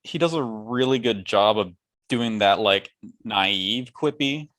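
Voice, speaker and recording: A man's voice: on the low side, takes it slowly, a bit bright. A man co-hosting a podcast, talking casually with hesitant, hedging phrasing. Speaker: podcast co-host. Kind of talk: casual movie discussion.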